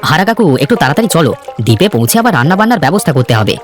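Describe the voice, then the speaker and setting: A man's voice: a little high, very animated, rapid-fire, music in the background. A man voicing a cheerful, sociable young village man in a cartoon story, eager and friendly.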